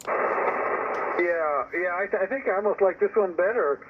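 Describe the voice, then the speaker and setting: Tinny radio-transmitted man's voice, fairly high. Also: measured pace. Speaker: amateur radio operator. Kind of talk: casual chat over ham radio. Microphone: received over ham radio. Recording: tinny narrowband radio transmission with static noise.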